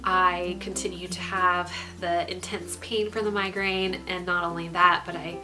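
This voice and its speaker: Stuffy-nosed young woman, lowish voice, chatty vlog update full of ums, music playing under her. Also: measured pace, rough recording. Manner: frequent ums, relaxed and candid. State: congested, stuffy nose. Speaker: pregnancy vlogger.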